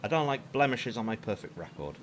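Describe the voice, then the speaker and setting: A man's voice: on the low side, very animated, brisk, a little hiss or hum. A man playing a cocky, callous hitman for hire in an audio drama, with a sarcastic, taunting manner. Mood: smug.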